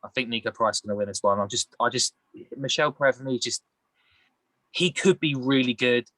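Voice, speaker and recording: A man with a bright, forward voice of medium pitch, recorded close and dry. He rambles through casual sports opinions in a matey, colloquial way. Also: a little fast, no echo. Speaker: podcast panellist.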